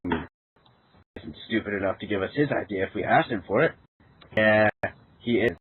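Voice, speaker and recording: A man's voice: brisk, slightly rough. A man reading a character's lines in an amateur story reading, mixed with casual chat. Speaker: amateur reader voicing a character in a fan fiction. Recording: tinny, noisy low-grade voice chat line.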